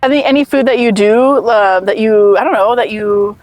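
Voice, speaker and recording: A woman's voice: on the high side, fairly even intonation, moderate pace, a little mellow, no room sound, faint music behind. A young woman hosting a casual conversation, who fills her speech with "like" and keeps asking questions. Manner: chatty, many "like" fillers, asks questions. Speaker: host.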